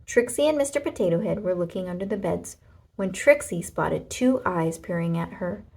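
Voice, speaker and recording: A woman narrating a children's storybook aloud in an expressive way, with deliberate dramatic emphasis. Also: fairly low pitch, vocal fry.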